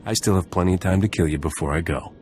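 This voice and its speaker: Guy doing a cocky deadly space smuggler for a game, really deep, sardonic, music behind. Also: quick pace, darkish tone, natural voice. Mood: cold menace. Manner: cocky, cold, threatening. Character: ruthless, sardonic male smuggler captain, casually menacing. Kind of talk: video game voice acting.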